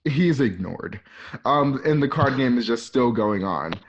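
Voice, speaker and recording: A man's voice: mid-range voice, expressive, unhurried, muffled recording. A man narrating scripted stage directions for a radio drama.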